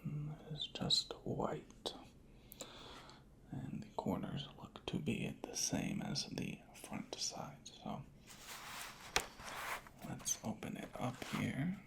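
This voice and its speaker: A hushed, breathy ASMR voice, soft-spoken and whispering in a deliberate, unhurried roleplay as an inspector. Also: mid-range voice, wildly swooping pitch. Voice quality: breathy whisper with creaky fry. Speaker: ASMR creator playing a record inspector.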